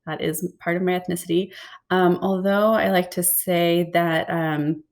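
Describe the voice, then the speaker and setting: A woman's voice: lowish voice, little pitch movement, measured pace, a little mellow. A woman in her forties, raised in San Diego, chatting as a podcast guest, friendly and a little hesitant with many ums. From born in Hawaii, raised in San Diego. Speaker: guest, business owner. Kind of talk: casual interview chat.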